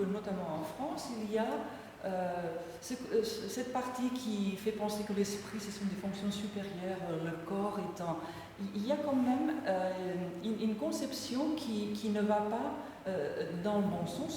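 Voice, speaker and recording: Catalan-native woman author, halting lecture delivery, distant mic, faint room ambience. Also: mid-range voice, dark tone, a little hiss or hum. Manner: hesitant, many fillers and restarts. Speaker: author presenting her book. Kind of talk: lecture-style book presentation. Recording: echoey hall from far off.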